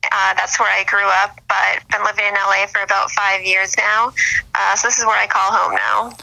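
A woman's voice: mid-range voice, little pitch movement, moderate pace, gravelly, sharp tone, low-quality recording. A young woman from Boston, chatting as an interview guest with many fillers and restarts. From Boston, USA. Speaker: sports blogger guest. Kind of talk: casual interview on a phone line.

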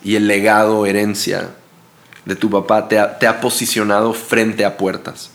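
A man hosting a casual podcast chat who speaks earnestly and haltingly, pausing to search for his words. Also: lowish voice, completely flat delivery. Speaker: podcast host.

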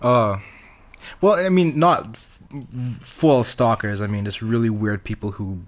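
A man's voice: on the low side, measured pace. A young man in casual podcast chat, telling offhand stories with swearing.

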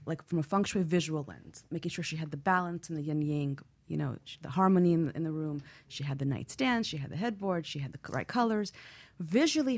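Husky, low-pitched woman explaining. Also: darkish tone. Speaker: guest expert, feng shui practitioner. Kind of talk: explanatory talk with client anecdotes on a radio show.

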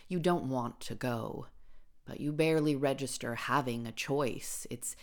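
Very low, gravelly woman narrating. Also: a little slow. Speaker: story reader. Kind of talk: literary audiobook narration.

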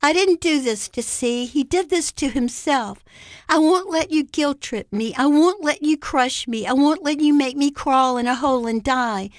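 A woman's voice: sits high, expressive, unhurried, few pauses, dry recording, clean studio sound. A woman acting out a distraught, defiant drunken woman in a story, in emphatic outbursts with a raised voice.

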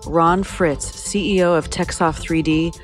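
A woman reading a scripted podcast introduction in a smooth, even promo manner. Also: on the low side, measured pace. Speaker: podcast announcer. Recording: music bed under voice. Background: guitar music.

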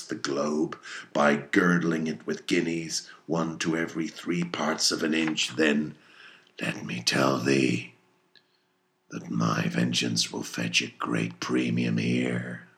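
An obsessed old sea captain, extremely deep and creaking. He speaks slowly in grand, archaic phrases, commanding and defiant, as in a dramatic audiobook. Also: level tone, a bit bright, full character voice. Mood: brooding, coaxing. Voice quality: gravelly. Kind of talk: dramatic audiobook character reading.

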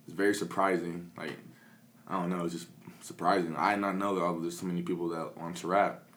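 Laid-back young rapper with a deep voice. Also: male voice, level tone, brisk, a bit bright. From Peoria, Illinois. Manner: laid-back, mumbly, frequent "like" fillers and swearing. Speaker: rapper and producer. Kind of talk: casual interview chat.

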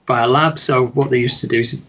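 A man with a mid-pitched, rather monotone voice speaks as a guest in a relaxed chat. He talks in a measured, hesitant way and often restarts his sentences. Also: breathy edge. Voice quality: somewhat monotone. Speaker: guest, wedding photographer. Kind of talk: casual chat.

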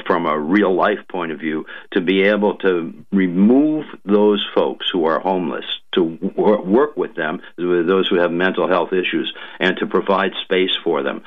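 A man, a lawmaker guest on a radio show, speaking slowly with many ums and uhs. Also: low voice, lively intonation. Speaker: state legislator guest. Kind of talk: radio interview, policy talk. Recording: muffled lo-fi radio audio.

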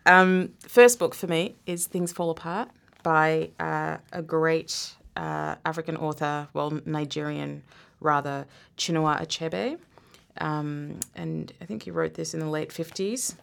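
A woman's voice: low-pitched, steady tone, unhurried, creaky. A woman who grew up in Zambia, talking reflectively as a guest in a casual interview, often restarting her sentences. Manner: reflective, many restarts and laughs.

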